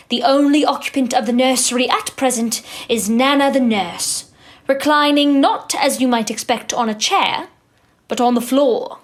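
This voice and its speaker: A woman playing the narrator of a children's storybook tale in an audio drama, with a measured storytelling manner. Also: high-pitched.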